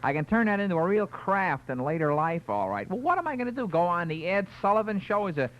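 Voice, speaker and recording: A man playing a skeptical, wisecracking young high school graduate in a comic radio ad, sarcastic and full of hesitant quips. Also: big pitch swings, fast. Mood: wry, doubtful. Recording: dull lo-fi old radio recording.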